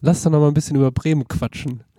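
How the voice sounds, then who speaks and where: Very dark-voiced easygoing man. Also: on the high side, fairly even intonation, fast, no echo, studio quality. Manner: easygoing, short backchannels. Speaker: podcast host. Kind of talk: casual chat.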